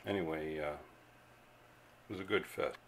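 A man's voice: rumbling low, steady tone, moderate pace, a little hiss or hum. A man narrating a casual hands-on demonstration as a hobbyist, unscripted and laid-back. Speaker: radio hobbyist host. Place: indoor home radio workbench.

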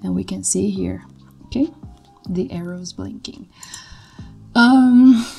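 A young woman from Brazil with a Brazilian Portuguese accent, a native Portuguese speaker, walking through her code on a screen share as a tutor in a relaxed, halting way with many fillers. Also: medium pitch, vocal fry. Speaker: coding tutor. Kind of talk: screen-share coding walkthrough. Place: indoor room.

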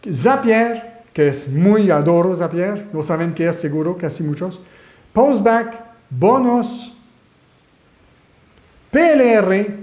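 A man with a French Canadian accent, a native French speaker, giving an animated webinar presentation as an online marketing mentor and asking his audience questions. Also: high-pitched, takes it slowly, pauses often, echoey room.

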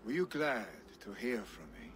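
An actor playing a restless, boisterous Viking chieftain's son in a video game. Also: male voice, on the low side, big pitch swings, moderate pace, fairly bright tone, a little hiss or hum. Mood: brooding. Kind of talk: video game cutscene acting.

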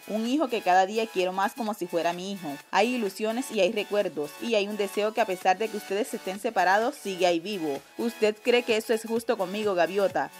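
A woman hosting a channel and reading a telenovela recap aloud, speaking the characters' lines in her own even voice. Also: medium pitch. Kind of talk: read-aloud recap narration.

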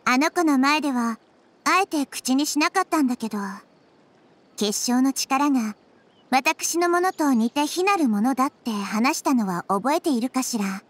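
A woman voicing a small, wise girl goddess in a game, speaking softly and with composure. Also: high voice, expressive, normal speed. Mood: calm. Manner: soft, composed, explanatory. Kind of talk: anime game voice acting.

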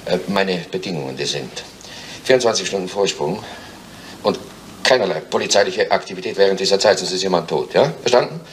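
An actor playing a demanding hostage-taker in a crime radio play, halting yet commanding. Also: male voice, low voice, lively intonation, a little hiss or hum. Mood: halting, threatening. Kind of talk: 1970s German crime radio play acting.

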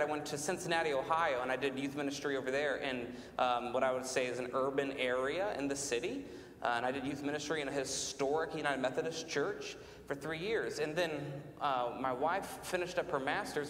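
High-voiced American man preaching in echoey church. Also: fairly bright tone. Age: late 30s. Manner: informal, self-deprecating, rhetorical questions to congregation. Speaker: pastor. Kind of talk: conversational sermon with personal testimony. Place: large church sanctuary, speaking from the front. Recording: echoey church hall, faint rumbling noise.

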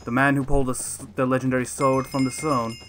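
A man's voice: mid-range voice, flat delivery, fast talker, a bit bright, lightly acted. A man playing a patient, encouraging mentor who explains things a little formally in a gaming roleplay. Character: patient mentor to young supernatural fighters. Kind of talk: improvised roleplay chat while gaming. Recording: faint music behind the voice.

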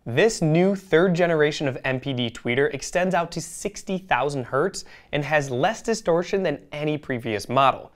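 A man in his thirties delivering a scripted product review in a polished, emphatic presenter's manner. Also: on the high side. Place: indoor studio, seated at a table.

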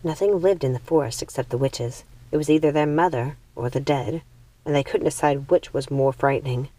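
A woman's voice: low-pitched, a little fast, dark tone, no room sound. A woman reading a story aloud as an audiobook narrator, in scripted narration. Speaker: audiobook reader.

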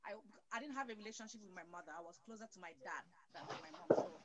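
A loud, playful woman speaking as a panelist on a video call. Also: mid-range voice, very fast, over a noisy crowd. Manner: loud, lively, self-described goofy and playful. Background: other voices on the call.

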